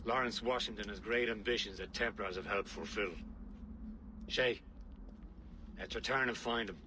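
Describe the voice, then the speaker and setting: An actor playing a calm male leader in a video game cutscene, speaking with authority. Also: lowish voice, moderate pace, noisy background, lightly acted.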